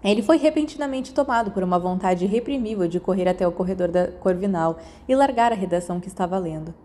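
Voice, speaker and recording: A woman's voice: medium pitch, fast, mellow. A woman with a Brazilian accent reading a scripted story as narrator. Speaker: podfic narrator.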